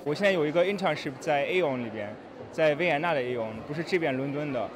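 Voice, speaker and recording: A man's voice: on the high side, lively intonation, fast. Young man interviewed in a noisy crowd. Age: young adult. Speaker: job seeker interviewee. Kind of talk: spontaneous interview answer. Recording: loud crowd behind.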